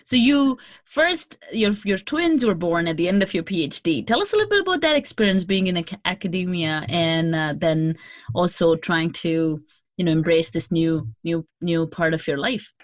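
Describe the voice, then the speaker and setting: A woman's voice: fairly low pitch, lively intonation, normal speed, no echo, studio quality. A woman hosting a podcast, interviewing a guest in a casual, chatty style.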